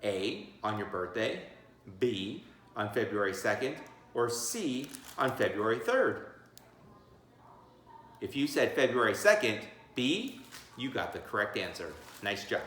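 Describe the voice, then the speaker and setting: A man's voice: on the low side, sing-song, unhurried, a bit bright, slight background noise. A man reading a children's book aloud as a teacher, theatrical and encouraging, breaking off for chatty asides and questions. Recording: echoey room.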